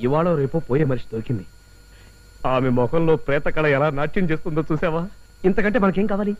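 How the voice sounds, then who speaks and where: A man's voice: on the high side, fast, muffled recording, lightly acted. A man playing a smug scheming groom. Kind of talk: film comedy acting.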